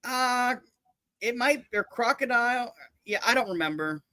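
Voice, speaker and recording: A man's voice: extremely high pitch, animated, unhurried, pushed and bright, no echo. A man chatting casually about politics, rambling and restarting his sentences, dropping into mock voices for jokes.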